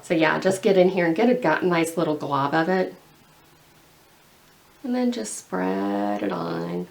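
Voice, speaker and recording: A woman's voice: lowish voice, very animated, measured pace, creaky, slight background noise. A young woman chatting casually while painting her nails, rambling and full of "like". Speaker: beauty vlogger.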